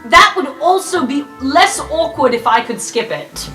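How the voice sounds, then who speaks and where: A woman's voice: high voice, expressive, measured pace, bright, forceful tone, faint music behind, heavily acted. A woman playing a timid, polite and earnest young scholarship student in a fantasy tabletop game, speaking hesitantly. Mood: awkward.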